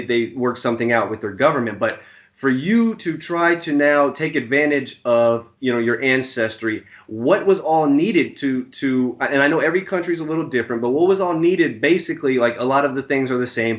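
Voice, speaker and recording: A man's voice: medium pitch, brisk. A man with a mid-range voice hosts a podcast interview and reacts eagerly with short "wow"s and "mm-hmm"s. He sometimes repeats words while he searches for what to say. His audio sounds muffled and lo-fi. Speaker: podcast host.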